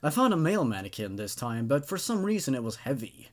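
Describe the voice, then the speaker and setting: A man's voice: mid-range voice, big pitch swings, fast. A man hosting a horror story review show, commenting and reading aloud in a hesitant way, often repeating words as he thinks. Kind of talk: rambling commentary and reading of amateur horror stories.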